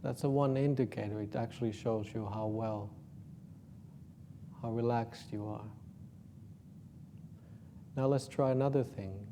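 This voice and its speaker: Finnish-accented monk guiding meditation, mellow voice. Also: male voice, medium pitch, steady tone, a little hiss or hum. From Finland. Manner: informal and gently joking, with soft, slow guiding phrases. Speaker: Buddhist monk leading a meditation retreat. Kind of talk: guided meditation talk.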